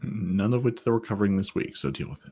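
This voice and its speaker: A man's voice: on the low side, animated, fast, warm tone, husky. A man co-hosting a gaming podcast, chatting casually in a wry, rambling way. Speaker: podcast co-host.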